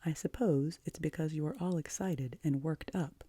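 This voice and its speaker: Casting: woman to play a no-nonsense older guardian in a storybook, low and mellow, firm, curt, matter-of-fact. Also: moderate pace, husky, dry recording. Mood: disapproving. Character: stern, practical, unimaginative older guardian woman. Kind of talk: audiobook character voice.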